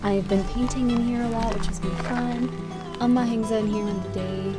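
A woman chatting casually about her camping setup at a campsite, relaxed and upbeat with a few fillers. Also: a little high, moderate pace. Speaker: camping companion. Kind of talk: casual chat. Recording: background music.

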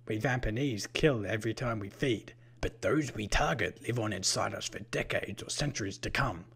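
Storybook monster, acted by a male narrator: haughty male vampire-like killer, breathy and husky, lowish, oddly deliberate delivery. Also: a bit bright, exaggerated voice. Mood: smug, lecturing. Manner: odd, deliberate way of talking with drawn-out phrases. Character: menacing, haughty purple-skinned vampire-like killer, male, unhurried.

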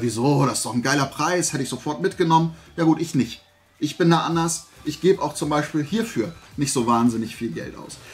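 Higher-pitched man, blunt and chatty. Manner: opinionated, blunt, colloquial with mild swearing. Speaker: host of a collecting haul vlog. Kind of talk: casual chat to camera. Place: small indoor room lined with collectibles.

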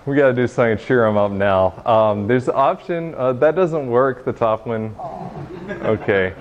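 A young man giving an informal technical demo to a room, speaking haltingly with frequent pauses. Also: medium pitch. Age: young adult. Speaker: presenter giving a software demo. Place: meeting room, standing by projection screen. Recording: noisy, muffled room recording.

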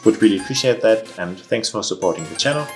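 Young German male vlogger, earnest with deadpan irony, low-ish voice, home office desk, soft music bed. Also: fast talker. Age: young adult. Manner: earnest, mildly ironic asides. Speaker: vlogger and social auditor. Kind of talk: casual explanatory vlog. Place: home office room, indoors.

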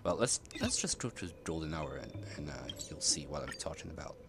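A man's voice: extremely low pitch, very animated, brisk, creaky. A man casually commenting over his gameplay, rambling and hesitant with many fillers and restarts. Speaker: gaming streamer. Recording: game music loud behind voice.

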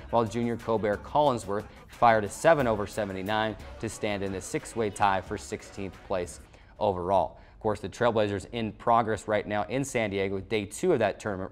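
A man in his twenties with an American accent, hosting a sports show and reading a scripted recap of results. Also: lowish voice. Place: small TV studio at a desk.

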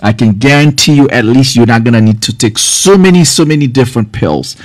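A man in his forties, originally from Haiti, talks straight into a studio mic in a small office. He gives earnest, direct health advice in a loose, chatty way, full of fillers. His voice sits in a middle pitch range and sounds close and dry, with no room echo. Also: expressive, fairly quick. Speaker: health care provider hosting a live stream. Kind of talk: casual live-stream health advice. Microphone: studio mic on boom arm, front camera close-up.

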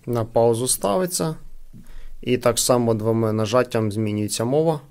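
Man, gravelly creak, casual product review, halting, thinking aloud. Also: medium pitch, a little mellow, soft background music. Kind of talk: casual unboxing commentary.

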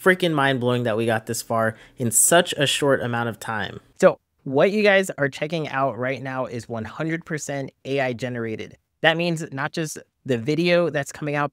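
A man's voice: medium pitch, a bit bright, clear, resonant tone, no room sound, clean studio sound. A man hosting a casual video review, narrating with enthusiasm and slang. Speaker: host, reviewer.

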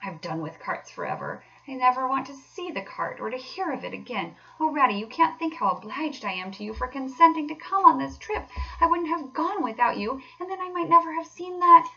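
Woman voicing a rapturous, high-flown storybook toad. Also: animated, brisk, vocal fry, hardly any pauses, sharp tone, distant mic, dull audio, exaggerated voice. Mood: dreamy, adoring. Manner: breathless, swooning, theatrical exclamations. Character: excitable, rapturous male toad, dreamy and obsessed.

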